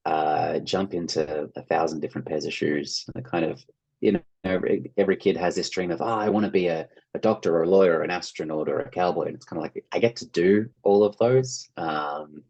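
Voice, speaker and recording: An Australian man chatting casually as an interview guest, telling anecdotes in an animated way and acting out quoted remarks. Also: sits low, fairly quick, muffled recording. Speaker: interview guest, actor and writer.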